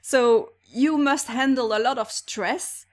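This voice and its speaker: High-pitched woman host, upbeat and encouraging, talks straight to her listeners. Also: takes it slowly. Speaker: podcast host. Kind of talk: podcast interview and promo.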